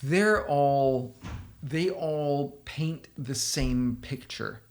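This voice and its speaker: A man from Denmark with a Danish accent, a software company founder giving opinionated, casual commentary straight to the viewer. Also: medium pitch, drawn-out words. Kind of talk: casual commentary responding to a blog post.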